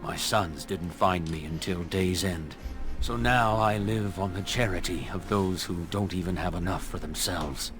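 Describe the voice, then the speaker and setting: A crippled elf beggar from a fantasy video game. His low voice is plaintive and world-weary with a bitter edge, and music plays clearly behind it. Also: unhurried. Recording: music under the voice.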